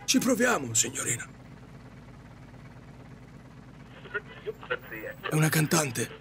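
An actor playing a resigned, regretful taxi driver in an audio drama, speaking politely, reflectively and with some hesitation. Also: male voice, fairly high pitch, sing-song, brisk, fairly bright tone, slight background noise. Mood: polite, attentive. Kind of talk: Italian audio drama acting.

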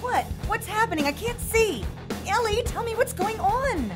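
Fearful, demanding wounded woman, actress, high and swooping, music behind. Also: lively intonation, moderate pace. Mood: frightened. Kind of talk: audio drama acting.

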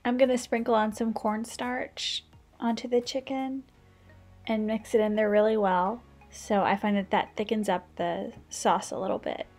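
A woman's voice: medium pitch, fairly even intonation, moderate pace, darkish tone, faint music behind. A woman in her thirties, a stay-at-home mom, giving a casual, practical voice-over as she cooks and shares kitchen tips. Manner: chatty, practical, explains tips as she goes.

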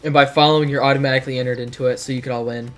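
Hoarse teenage streamer. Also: male voice, mid-range voice, steady tone, very fast, fairly bright tone, soft background music. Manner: rambling, joking, reacts to chat. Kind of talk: casual livestream chat. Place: dim bedroom.

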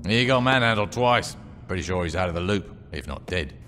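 An actor playing a ruthless, dry-witted street gang boss in a video game, terse, sardonic and menacing. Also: male voice, deep voice, lively intonation, a little fast, bright, forceful tone, slight background noise. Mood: dry, commanding. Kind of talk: video game cutscene acting.

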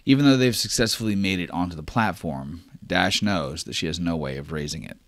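A man's voice: low voice, a little fast, dry recording. A man narrating as game master of a tabletop role-play, describing scenes casually.

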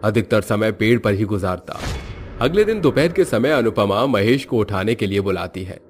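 A man's voice: fairly low pitch, lively intonation, moderate pace, slight background noise. A man narrating a scripted horror story.

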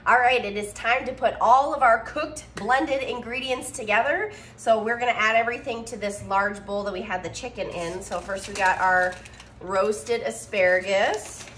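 A woman in her thirties co-hosting a casual home cooking demo, giving clear step-by-step instructions. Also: fairly high pitch, moderate pace, fairly bright tone, slight background noise. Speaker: cooking show co-host. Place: home kitchen, indoors. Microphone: phone camera at counter distance.

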